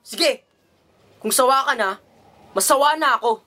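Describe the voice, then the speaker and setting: A man's voice: extremely high pitch, measured pace, pushed and bright, heavily acted. A man acting a defensive, aggrieved migrant-worker husband in a radio drama. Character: defensive, aggrieved husband back from working abroad. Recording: noisy amateur radio drama recording.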